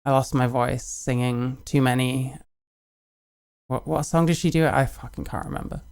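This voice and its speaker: A British woman answers her viewers' comments in a casual, deadpan way, keeping most replies short. Her voice is smooth and resonant with a gravelly edge of vocal fry. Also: extremely low pitch, normal speed. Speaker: streamer.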